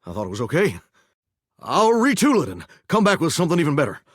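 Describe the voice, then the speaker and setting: An actor playing a vain, folksy singing shower in a video game, with a theatrical Southern drawl and country sayings. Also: male voice, a little high, wildly swooping pitch, quick pace, husky, no echo, clean studio sound, exaggerated voice. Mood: showboating. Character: flamboyant, folksy singing shower, vain would-be showbiz star. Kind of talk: animated video game character acting with bursts of song.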